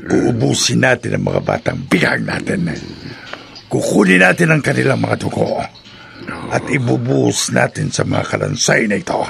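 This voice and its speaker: Gravelly, wildly swooping mad doctor. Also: male voice, mid-range voice, huge pitch swings, full character voice. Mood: cold menace. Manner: slow, menacing, drawn-out, with villainous cackling. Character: gleeful, mad evil male doctor experimenting on skeletons. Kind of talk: horror radio drama acting.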